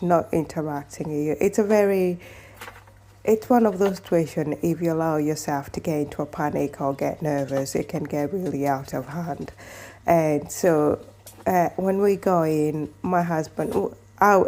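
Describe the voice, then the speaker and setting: Low, mellow-voiced chatty woman from Kenya. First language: mother tongue other than English, also Swahili. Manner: chatty, rambling with restarts and asides. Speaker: vlogger. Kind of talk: casual storytelling to camera.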